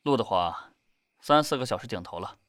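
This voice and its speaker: A man playing a no-nonsense idol group manager, brisk and dryly scolding as he hands out instructions. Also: on the low side, expressive, fairly bright tone. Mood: blunt, businesslike.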